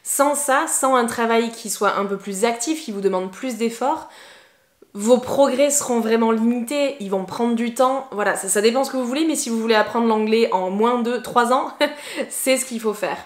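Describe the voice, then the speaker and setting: A woman's voice: on the high side, moderate pace. Chatty woman, echoey room. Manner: chatty, encouraging, many fillers. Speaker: English teacher. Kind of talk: casual tips to camera.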